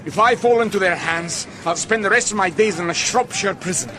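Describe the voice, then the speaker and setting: Actor as high-voiced, commanding young medieval adventurer. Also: male voice, fairly quick, background noise, lightly acted. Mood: earnest, commanding. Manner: firm, instructing. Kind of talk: radio drama acting.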